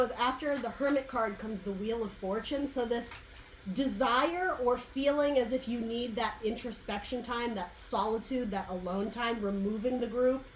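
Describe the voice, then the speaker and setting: Woman tarot reader, fairly high voice, dry fading throat, rambling, faint room hum behind. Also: poor audio. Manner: rambling, searching for words, frequent "like" and "um". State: dry, losing her voice. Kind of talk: casual tarot reading monologue.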